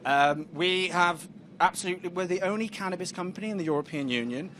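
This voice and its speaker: A man giving a loud, confident startup pitch on a moving tram, bantering with hecklers. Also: quick pace, a little hiss or hum.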